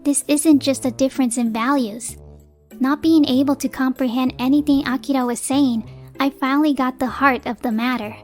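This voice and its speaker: A high, youthful wife's voice acted by a woman tells her story in a manga dub with faint music behind it. She is composed but exasperated, blunt and determined to stand on her own. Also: fairly even intonation, moderate pace, lightly acted. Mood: indignant, firm. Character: fed-up young working wife and mother, determined, blunt. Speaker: narrator and lead character. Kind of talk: manga dub, narration and dialogue.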